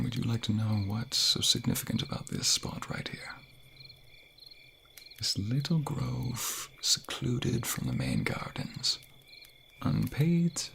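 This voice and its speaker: A man's voice: lowish voice, vocal fry, sharp tone, slight background noise, heavily acted. A man playing an affectionate, flirtatious fantasy king in an intimate ASMR roleplay, murmuring tenderly and often slipping into whispers to a secret lover. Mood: intimate, solemn.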